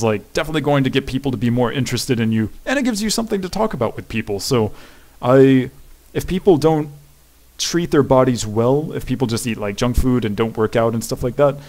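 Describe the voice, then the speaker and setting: A young man with a deep voice answering viewer questions in a casual, rambling chat. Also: fairly quick, a little mellow. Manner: chatty and rambling, fillers and restarts.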